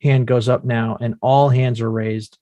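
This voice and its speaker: A man narrates stage directions from a script. His voice is medium-pitched, captured close and dry with no room sound. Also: level tone, measured pace, mellow, no echo.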